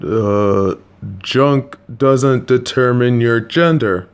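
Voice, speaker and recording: A man voicing a gentle, awkward, supportive middle-aged father, speaking in a slow, halting way with dad jokes. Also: medium pitch, clear, resonant tone. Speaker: voice actor playing a father. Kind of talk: audio roleplay.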